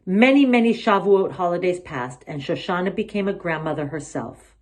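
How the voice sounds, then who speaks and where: A woman reads a children's story aloud in an expressive storytelling style. Her voice is slightly low, and her pitch moves with very lively variation from phrase to phrase. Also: moderate pace. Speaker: storybook reader.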